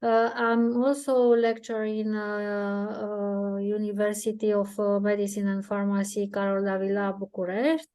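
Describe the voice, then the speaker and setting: A woman introducing herself on a video call, slowly searching for words. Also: medium pitch, flat delivery, warm tone, clean tone. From Romania. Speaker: nurse and lecturer. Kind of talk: webinar self-introduction.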